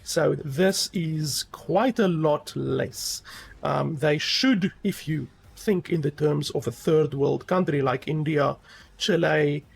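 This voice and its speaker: A man's voice: a little high, takes it slowly, vocal fry, breathy edge. A man giving a rambling, casual game review, with many fillers and emphatic repeats.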